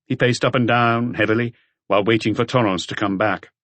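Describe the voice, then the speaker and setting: A man reading a detective novel as an audiobook narrator in a measured way. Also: medium pitch, fairly even intonation, fairly bright tone, dry recording.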